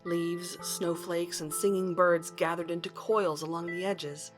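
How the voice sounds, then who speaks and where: A woman's voice: on the low side, little pitch movement, a little slow, loud music behind the voice, lightly acted. A woman playing a determined academic searching for her missing husband in an earnest audio drama. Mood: wistful. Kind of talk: audio drama acting and narration.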